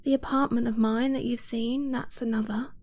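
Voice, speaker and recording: A woman playing an affectionate, earnest dragon-blooded girlfriend in an ASMR romance roleplay, speaking softly and haltingly, often dropping to a whisper. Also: a little high, fairly even intonation, natural voice. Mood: earnest, intimate.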